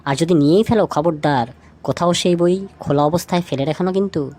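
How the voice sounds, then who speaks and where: Lower-voiced woman presenting scripted trivia. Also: brisk, mellow, a little hiss or hum. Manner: addresses the listener directly with rhetorical questions. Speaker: lead narrator of a feature video. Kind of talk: scripted narration of light trivia.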